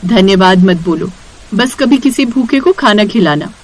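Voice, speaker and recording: A woman voicing a kind, hardworking mother who runs a food stall in an animated moral story, caring and reassuring. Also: mid-range voice, moderate pace, slight background noise, natural voice.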